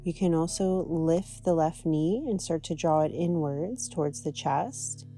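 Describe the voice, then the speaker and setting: A woman with a low-pitched voice leads a guided yoga and meditation session. Her tone is soft and dark, and her delivery is gentle and unhurried. Faint guitar music plays behind her. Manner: gentle, soothing, unhurried guidance. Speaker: yoga teacher.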